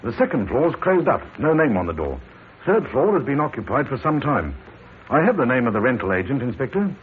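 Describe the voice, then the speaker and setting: An actor playing a methodical police inspector with calm, polite questioning, in a tinny old radio drama recording. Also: male voice, medium pitch, brisk, background noise, lightly acted. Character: methodical male police inspector, middle-aged.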